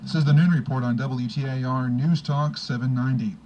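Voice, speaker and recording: A man's voice: mid-range voice, fairly even intonation, a little fast, loud music behind the voice. A man reading a scripted radio news bulletin as anchor.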